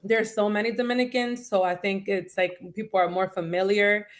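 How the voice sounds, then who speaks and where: A woman's voice: mid-range voice, steady tone, moderate pace. A woman from New York speaking as a panel guest, thoughtful and full of fillers like "um" and "like". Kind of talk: casual discussion.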